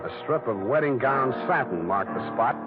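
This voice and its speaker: An actor playing a wry, hard-boiled private detective in a 1940s-style radio drama, terse and dry in manner. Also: male voice, medium pitch, expressive, normal speed, natural voice. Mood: wry narration. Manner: terse, dry, tough-guy. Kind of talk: 1940s radio acting and narration. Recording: tinny old radio broadcast, loud music.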